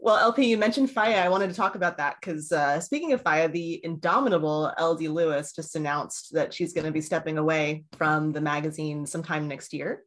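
Fast-talking low-voiced woman. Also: steady tone. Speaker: panelist. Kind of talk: casual panel discussion.

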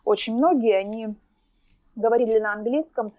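A woman's voice: fairly high pitch, moderate pace, warm tone, dry recording, muffled recording. A woman guest chatting in an interview, talking hesitantly with many fillers and restarts. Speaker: podcast guest. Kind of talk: casual interview chat. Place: lives in Norway.

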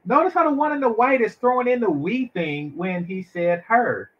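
A man riffs sarcastically on a dating show he is watching, mocking the people on it. His voice sits fairly high. The audio is slightly muffled. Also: animated, normal speed. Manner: mocking, mimics others. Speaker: reviewer reacting to a dating show. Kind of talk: sarcastic commentary.

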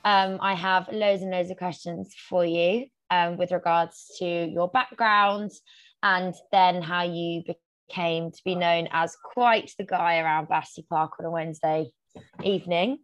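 A woman's voice: on the low side, fairly even intonation, unhurried, highs cut off. A woman hosting a podcast, reading a scripted intro and then asking questions in a friendly way with some hesitant ums. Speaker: podcast host.

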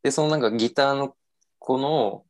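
A man's voice: mid-range voice, moderate pace. A young man casually telling a self-mocking story on a podcast, with lots of fillers.

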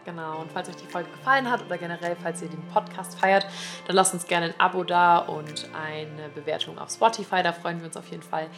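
A woman's voice: on the low side, fairly even intonation, a little fast, over music. A young woman chatting casually as a podcast co-host, blunt and slangy in the way she talks. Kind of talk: casual chat.

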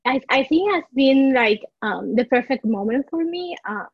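A woman's voice: high voice, expressive, moderate pace, slightly rough, dry recording, muffled recording. A woman from Rico, a coach being interviewed, searching for words and restarting her sentences as she talks. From Puerto Rico. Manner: searching for words, frequent restarts, soft laughs. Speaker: life coach, podcast guest. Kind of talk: conversational interview.

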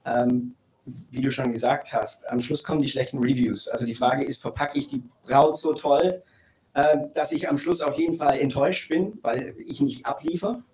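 A man's voice: fairly high pitch, normal speed, darkish tone, poor audio. A man guest explaining his views on marketing, hesitant with many fillers. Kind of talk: casual panel talk.

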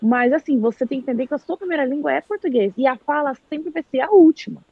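A woman's voice: high voice, quick pace, dry recording, phone-quality sound. A woman from Brazil chatting live, animatedly telling a story and acting out what people said. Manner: animated storyteller, acts out quoted speech. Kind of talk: casual live chat.

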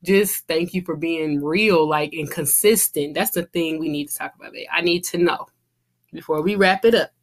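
A woman's voice: low-pitched, moderate pace. Chatty woman in her thirties, podcast host. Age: 30s. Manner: chatty, runs on with fillers like "you know" and "like". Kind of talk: casual podcast chat.

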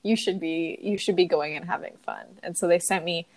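Young woman cohosting a podcast, a bit sniffly, lowish voice, lots of ums and likes. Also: moderate pace. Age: young adult. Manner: chatty, filler-heavy, emotional. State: sniffly from crying. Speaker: podcast co-host. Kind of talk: casual chat, storytelling.

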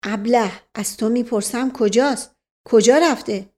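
A woman's voice: on the high side, darkish tone, natural voice. A woman voicing a grave, agitated older man, an imperious uncle in a story, with dramatic delivery. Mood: agitated, commanding.

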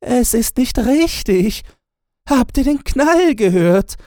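A man's voice: extremely high pitch, expressive, slow, darkish tone, dry recording, studio quality, pitched above the performer's own voice, heavily acted. A man voicing a delicate, anxious young princess in a fairy-tale audiobook. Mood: alarmed. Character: frightened youngest princess, a girl.

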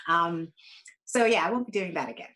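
A woman whose accent blends Ghanaian, British and American sounds talks casually as a podcast guest. Her voice sits low, and she fills her pauses with frequent ums. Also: animated, pushed and bright, poor audio. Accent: mixed Ghanaian, British and American.

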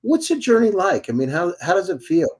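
A man's voice: sits high, wildly swooping pitch, a little fast, a little mellow, breathy edge. A man hosting an online show, introducing his guest with enthusiastic praise and asking rambling questions. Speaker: host and company CEO.

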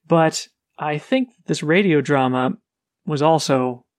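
Guy on a podcast telling the story, kinda high voice, dry close sound. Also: slow, dry recording. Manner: animated retelling of a plot. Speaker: podcast co-host. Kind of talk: casual book discussion.

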